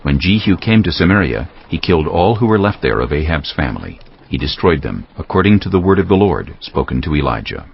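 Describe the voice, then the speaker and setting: A man's voice: a bass voice, very animated, a little fast, vocal fry, slightly rough. A man narrating a dramatized scripture audiobook in a storytelling, scripted style. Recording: slightly dull audio, music behind. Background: background music.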